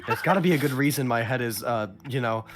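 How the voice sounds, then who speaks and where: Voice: medium pitch, quick pace, noisy background. An amateur voice actor reading game dub lines among friends, with a jokey manner and casual asides. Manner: jokey, laughs through lines. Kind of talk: fan dub line reading mixed with casual joking.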